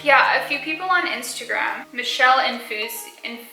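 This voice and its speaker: A woman's voice: sits high, lively intonation, moderate pace, bright, forceful tone, reverberant, soft background music, rough recording. Giggly young woman with a mild Australian accent. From South Africa, raised partly in Australia. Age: early 20s. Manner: chatty, many fillers, frequent giggles. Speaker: beauty and lifestyle YouTuber. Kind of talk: casual chat, answering viewer questions.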